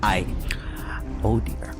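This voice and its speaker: A man playing a nervous, guilt-ridden scientist in a comic-book audio drama, halting and stammering as he talks. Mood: anguished, guarded. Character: nervous, guilt-ridden male scientist, secretly from another world. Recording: loud music behind the voice. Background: loud music.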